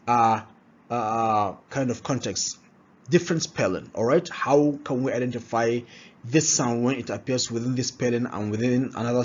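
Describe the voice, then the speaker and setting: A man's voice: medium pitch, a little mellow, slightly rough. A man teaching a pronunciation lesson, often asking rhetorical questions and checking in with "all right?". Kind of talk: classroom-style lesson. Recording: dull lo-fi recording.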